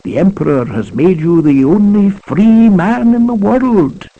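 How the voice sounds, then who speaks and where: A man's voice: high voice, expressive, a little slow, heavily acted. Man voicing a tender, intimate courtier woman. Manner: soft, intimate. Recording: lo-fi transfer from 1990s audiocassette.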